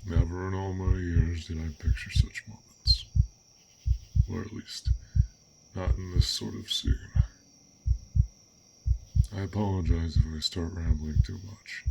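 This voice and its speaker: A man voicing a gentle, bashful dragon in an intimate fantasy audio roleplay, speaking haltingly in formal, old-fashioned words. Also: low voice, steady tone, vocal fry, heavy background noise. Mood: fond, content. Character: fearsome but gentle, bashful, curious male dragon. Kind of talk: roleplay audio acting, intimate one-sided dialogue.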